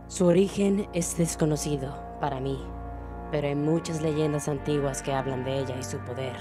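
A woman's voice: sits low, steady tone, moderate pace, lightly acted. A woman with a Latin American Spanish accent voicing an ancient, patient alicorn princess in a cartoon comic fan dub, speaking formally and solemnly. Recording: music under the voice.

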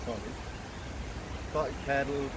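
A man's voice: medium pitch, slow. A man, chatting casually to camera as a vlog host outdoors. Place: outdoors on a riverbank. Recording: noisy outdoor camera audio.

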